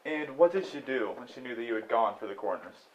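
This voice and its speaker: A man's voice: mid-range voice, expressive, fast, a little hiss or hum, close to an everyday voice. A man acting in a stage play as a condescending county attorney, questioning people in a smug, probing way. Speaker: stage actor. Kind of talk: amateur stage play dialogue. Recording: dull, muffled stage recording.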